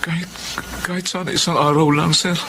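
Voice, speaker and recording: A man's voice: a little high, flat delivery, fairly quick, noisy background, natural voice. A man playing a humble family driver in a Filipino radio drama, deferential, hesitant and pleading. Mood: meek pleading. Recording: loud music and vehicle sound behind.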